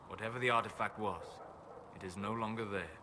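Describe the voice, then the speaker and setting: Reserved, scholarly elven mage, played by a man for a fantasy game: low, formal, thoughtful delivery. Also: natural voice. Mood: grave, measured. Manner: measured, formal, thoughtful phrasing. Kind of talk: video game dialogue acting. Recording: clear ambient noise behind game dialogue.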